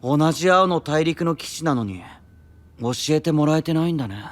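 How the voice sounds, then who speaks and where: An actor playing a sly, needling young knight mage who can turn commanding, in a fantasy audio drama. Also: male voice, fairly high pitch, animated, moderate pace, close to an everyday voice. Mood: cool, pointed. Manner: playful needling that turns forceful and commanding. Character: young male knight mage, sly, taunting, relentless interrogator. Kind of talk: anime-style fantasy audio drama acting.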